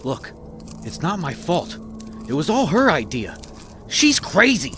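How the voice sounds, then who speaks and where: A man's voice: a little high, wildly swooping pitch, measured pace, noisy background, exaggerated voice. A man playing a glib, cornered villain held captive in a horror audio drama, nervous and stammering. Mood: nervous excuses. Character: cornered captive man, a flippant excuse-making killer.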